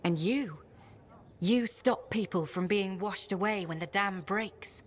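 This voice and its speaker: A woman's voice: mid-range voice, slow pace, natural voice. An actress playing a witty, curious woman scholar.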